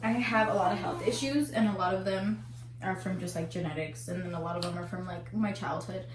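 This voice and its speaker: A woman's voice: on the low side, steady tone, a little fast. A young woman whose first language is Spanish, chatting casually and candidly as a co-host over a meal. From United States, family from Colombia. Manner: candid, swearing, earnest. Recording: echoey room.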